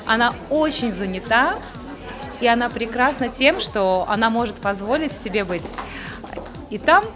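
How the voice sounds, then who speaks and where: A woman's voice: on the high side, lively intonation, moderate pace, over music, crowd noise. A woman who works as a voice coach and magazine editor, giving a poised interview answer at an event. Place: press wall at an indoor event. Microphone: handheld interviewer mic.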